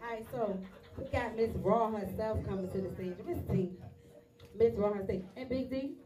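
A woman's voice: a little high, moderate pace, dark tone. A woman co-hosting a live poetry show, energetically calling out to the audience. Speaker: event co-host and poet. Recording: distant mic in a crowded live room.

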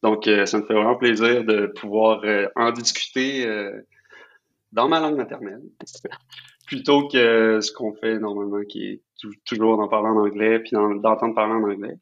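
A man with a Québécois accent, a podcast guest, answering questions haltingly with many fillers and repeated words. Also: on the low side, fairly even intonation, a bit bright. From Quebec. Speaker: guest, head of design. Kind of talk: remote interview. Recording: dull lo-fi call audio.

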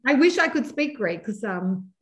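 High-voiced Australian woman, joking guest. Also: sing-song. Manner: joking, self-deprecating, quick to laugh. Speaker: podcast guest. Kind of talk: casual chat.

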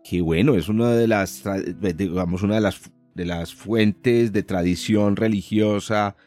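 A Colombian man with a Paisa accent, hosting a relaxed podcast talk on astronomy, lively with many restarts and fillers. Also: lowish voice, measured pace, soft background music. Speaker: podcast host and astronomy professor. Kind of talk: casual conversational podcast.

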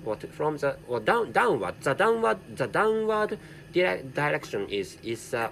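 A man whose first language is Japanese, speaking English with a Japanese accent in a halting solo commentary, repeating words and correcting himself. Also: a little high, big pitch swings, gravelly, fairly bright tone, slight background noise. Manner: halting, with heavy repetition and self-correction. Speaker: podcast host.

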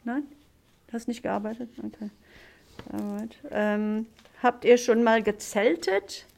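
Slow, patient German teacher woman. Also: fairly high pitch, vocal fry, darkish tone. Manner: slow, encouraging questions with many fillers. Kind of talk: classroom podcast interview.

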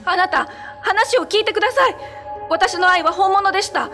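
A woman's voice: moderate pace, a bit bright, soft background music. A woman playing a lovelorn shapeshifting cat monster living as a wife, in an eerie, emotional radio drama style. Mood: tearful, pleading. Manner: eerie low chanting and tearful, beseeching dialogue. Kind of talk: radio drama horror acting.

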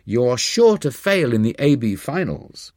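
A man's voice: medium pitch, very animated, unhurried, a little mellow, dry recording, clean studio sound, voice pushed up. A man voicing a stern, pompous male philosophy professor in an audiobook, scolding in precise, formal diction. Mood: thundering, spiteful. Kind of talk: audiobook character voice.

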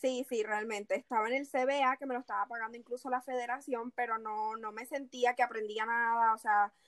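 Venezuelan woman in her twenties, accent leaning Puerto Rican, high voice, dry close sound; chatty guest with lots of fillers. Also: steady tone, fairly quick, a bit bright, no echo. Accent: Puerto Rican-influenced Venezuelan Spanish. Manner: chatty, laughing, many fillers. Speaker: athlete guest. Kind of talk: casual interview over a call.

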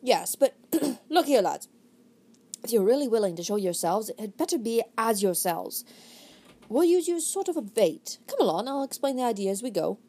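A woman voicing a proud, theatrical male actor who takes command with grand, booming delivery. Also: sing-song, moderate pace, mellow. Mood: brisk.

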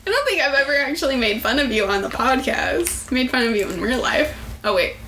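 A young woman co-hosting a casual podcast, playful and quick to argue, pushing her voice up and down as she talks. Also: high voice, a little hiss or hum. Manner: playful, argumentative, animated voice play. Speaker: podcast co-host.

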